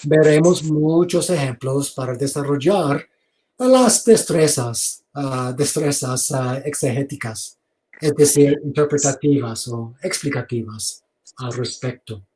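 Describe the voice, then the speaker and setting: A man giving an online theology lecture over a video call, speaking slowly, repeating words and inviting questions. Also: medium pitch, mellow, slight background noise, poor audio. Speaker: seminary lecturer.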